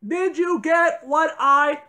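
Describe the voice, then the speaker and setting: A woman's voice: sits high, slow pace, sharp tone. A woman bickering casually, with a blunt, complaining way of talking. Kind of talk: casual bickering in a home-made prank series.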